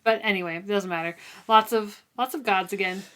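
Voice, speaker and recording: A woman's voice: medium pitch, fairly quick, fairly bright tone. A woman co-hosting a book discussion in a casual, conversational way. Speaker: podcast co-host.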